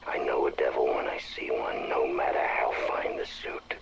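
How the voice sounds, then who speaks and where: A man playing a troubled, principled small-town banker in a video game audio log, confessing haltingly in a hushed, anguished way. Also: rumbling low, fairly even intonation, creaky, very noisy. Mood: indignant.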